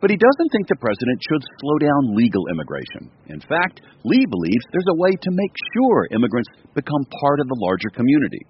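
A man reporting for radio news, telling the story in a measured, scripted narration. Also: muffled recording, a little hiss or hum. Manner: measured storytelling delivery with lively pitch.

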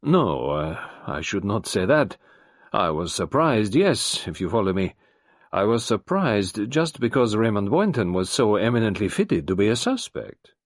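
A man voicing an earnest middle-aged French doctor who speaks haltingly and thoughtfully. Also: on the low side, sing-song, dry recording, studio quality, exaggerated voice. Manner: halting, thoughtful, with hesitant fillers.

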